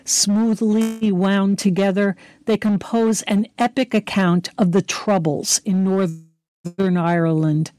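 A woman reading a scripted book review in a measured, articulate storytelling manner. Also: medium pitch, steady tone, mellow. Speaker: book critic.

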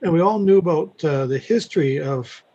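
A man speaking casually as a book club member, in a deliberate and halting way. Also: a little high, expressive, mellow, slightly rough. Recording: dull conference call audio.

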